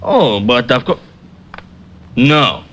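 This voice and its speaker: An actor playing a broke, excitable Russian artist and informant, wheedling and grandly indignant in an old radio drama with a Russian accent. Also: male voice, medium pitch, very slow. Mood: sly. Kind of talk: 1940s radio comedy-drama acting. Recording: old radio recording with faint noise.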